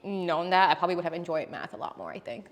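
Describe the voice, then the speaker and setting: A woman chatting casually and telling personal anecdotes in an animated, giggly way, saying "like" often. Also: on the low side, fast. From born in Singapore, moved to the US as a child. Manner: animated, giggly, peppers speech with "like". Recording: reverberant hall-like room.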